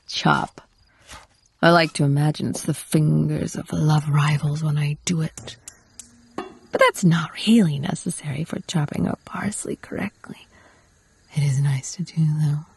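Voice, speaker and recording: Possessive yandere housewife, played by a woman for ASMR roleplay: lowish, very breathy, whispery, sweetly coaxing and instructive. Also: no echo, exaggerated voice. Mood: darkly dreamy. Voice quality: breathy, often slipping into whisper. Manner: coaxing, doting, softly instructive. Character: possessive, doting yandere wife training her captive husband.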